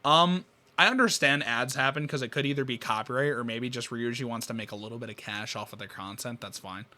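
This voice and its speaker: A man's voice: mid-range voice, fairly quick, bright, forceful tone. A young man giving blunt, casual reaction commentary as a video host, recorded in a small room.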